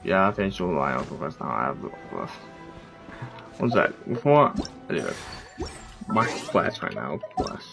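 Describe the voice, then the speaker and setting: A man's voice: low voice, very animated, normal speed, vocal fry, pauses often. A man giving casual gameplay commentary as a streamer, rambling and often trailing off mid-thought. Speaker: game streamer. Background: game music.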